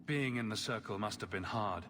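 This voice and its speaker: An actor playing a warm, wry hero and protective brother in a fantasy video game. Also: male voice, lowish voice, normal speed, lightly acted. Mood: wry, gentle. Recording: echoing space, noisy ambience.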